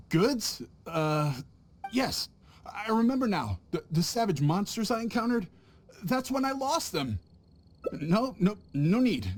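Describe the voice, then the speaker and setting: A man's voice: sits high, heavily acted. A man voicing a nervous traveling merchant who stammers and fumbles his words, overacting his panic. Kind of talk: video game voice acting.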